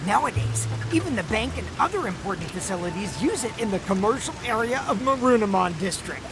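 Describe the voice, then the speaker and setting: A woman's voice: mid-range voice, lively intonation, a little fast, music in the background, natural voice. A woman playing a chatty, assured character explaining things in a video game. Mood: informative. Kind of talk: English video game voice acting.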